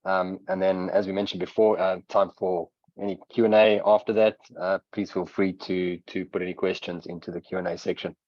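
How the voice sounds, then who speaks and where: Deep-voiced South African man presenting. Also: fairly quick, hardly any pauses, no echo. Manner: steady explanatory delivery, hesitant fillers. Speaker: software presenter. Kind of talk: webinar presentation with software demo. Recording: dull lo-fi webinar audio.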